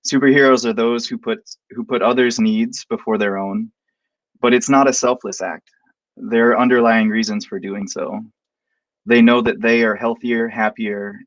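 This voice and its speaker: Man, professor, from Montana, low-pitched, scripted and heartfelt, dry, dull lo-fi audio. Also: no echo. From Montana, United States. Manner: measured, heartfelt, reading from a script. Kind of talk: prepared introduction read aloud. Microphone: video call.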